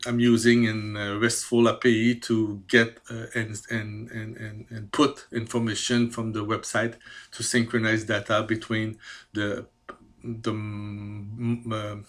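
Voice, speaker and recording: A man's voice: fairly low pitch, monotone. Hesitant French-Canadian man presenting himself. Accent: Quebec French. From Quebec, Canada. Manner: careful, hesitant, many filler pauses. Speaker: CAD programmer. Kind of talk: self-presentation video.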